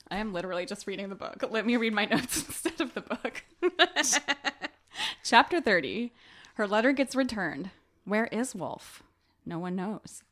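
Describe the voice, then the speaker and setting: A woman co-hosting a podcast, chatting casually and playfully about a book. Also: mid-range voice. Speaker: podcast co-host.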